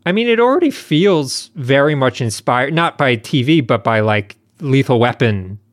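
A man's voice: medium pitch, animated, moderate pace, dry recording, studio quality. A man co-hosting a podcast, talking casually in a discussion. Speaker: podcast co-host.

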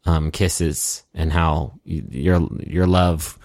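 A man co-hosting a podcast, joking and swearing, his voice gravelly after a busy stretch of performing. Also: fairly even intonation, takes it slowly, a little mellow, dry recording, studio quality. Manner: sweary, jokey, breaks into falsetto singing. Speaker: podcast co-host. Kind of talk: casual recap chat.

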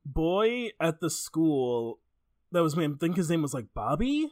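A woman co-hosting a podcast in casual banter, exclamatory, incredulous and quick to swear. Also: sits low, very animated, darkish tone. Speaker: podcast co-host.

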